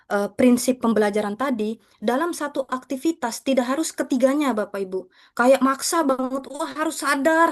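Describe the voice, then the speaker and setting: A woman's voice: fairly high pitch, darkish tone. A woman speaking as a teacher, explaining material to fellow educators in a conversational, respectful presentation style. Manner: conversational, repeatedly addressing listeners with respectful terms.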